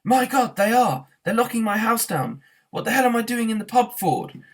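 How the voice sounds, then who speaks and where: A man voicing an outraged, panicking man in a storybook, shouting with indignant spluttering. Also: very high voice, lively intonation, moderate pace, pitched above the performer's own voice, exaggerated voice. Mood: alarmed. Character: outraged, panicking man yelling as his house is demolished. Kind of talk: storybook character voice.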